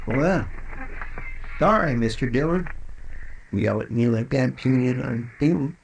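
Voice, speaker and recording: A man's voice: mid-range voice, unhurried, gravelly, a little hiss or hum, poor audio. A man playing a folksy, deferential marshal's sidekick with an in a 1950s radio western. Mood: complaining, cozy. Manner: folksy, drawling, respectful.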